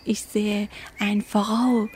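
Slow, halting young woman learner. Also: medium pitch, little pitch movement, darkish tone, slight background noise. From Afghanistan. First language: not German. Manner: halting beginner German, searching for words. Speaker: interviewee.

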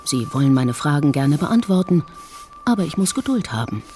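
Woman narrator for a radio feature, lower pitch, mellow tone, calm and measured, soft music underneath. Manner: calm, measured first-person reportage. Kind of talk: scripted documentary narration.

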